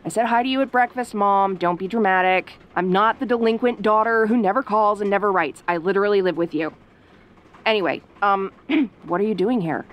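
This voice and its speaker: A woman acts out a tsundere tomboy in an audio roleplay. She is sassy and easily flustered, talking casually and defensively, with sarcasm that covers her softer side. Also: medium pitch, a little fast, natural voice. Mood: embarrassed, sassy. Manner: casual, defensive, sarcastic, hesitant when sentimental.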